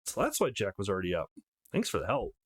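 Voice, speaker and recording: A man's voice: on the low side, huge pitch swings, fast, breathy edge, voice pushed down, full character voice. A man voicing a sweet, welcoming mother in a visual novel, with a polite, cheerful manner.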